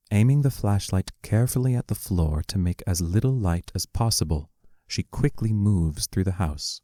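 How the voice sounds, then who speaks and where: A man giving scripted narration of stage directions for an audio play in a measured, matter-of-fact way. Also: deep voice, mellow, dry recording, studio quality.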